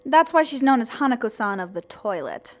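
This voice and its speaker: A woman's voice: on the high side, sing-song, fairly quick. A woman playing a young female zombie who narrates her own story in an earnest, plain audio drama style. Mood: wry. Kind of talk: first-person scripted narration.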